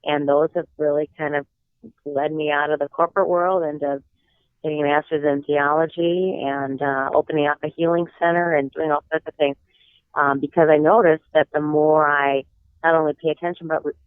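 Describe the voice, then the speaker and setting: A woman in her sixties with a slight cold-hoarseness, telling personal stories in an earnest, reflective way as an interview guest. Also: low voice, steady tone, normal speed, no echo. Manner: earnest, reflective, with some hesitant fillers. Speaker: guest author. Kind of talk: interview storytelling. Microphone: telephone. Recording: tinny phone line.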